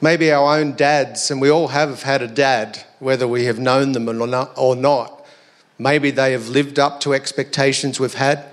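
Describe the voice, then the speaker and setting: An Australian man giving a sermon in a conversational, reflective way, his voice a little hoarse and croaky. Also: medium pitch, fairly even intonation, measured pace. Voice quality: slightly croaky. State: slightly hoarse. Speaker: preacher. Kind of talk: sermon to a congregation.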